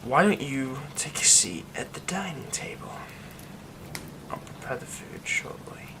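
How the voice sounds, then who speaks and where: A man voicing a secretive young man who hides a werewolf curse, in an ASMR roleplay drama. He speaks in a hushed voice that often drops to a whisper. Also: medium pitch, unhurried. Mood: hushed, evasive. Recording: steady rain noise behind the voice.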